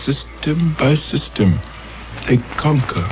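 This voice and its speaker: Radio drama: a grave alien elder, slow and lively in pitch. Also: male voice, lo-fi sound. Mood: solemn. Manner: solemn, measured. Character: grave male elder of a peaceful alien world.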